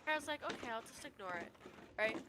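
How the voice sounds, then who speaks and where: A woman's voice: sits high, lively intonation, fast, background noise. A young American woman telling stories in casual chat, with a slangy, sweary, rambling manner. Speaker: livestreamer.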